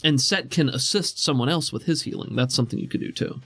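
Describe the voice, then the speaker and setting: A man's voice: medium pitch, fast talker, fairly bright tone, breathy edge, no echo, faint music behind. A man acting as game master, talking through rules and narrating scenes in a conversational way.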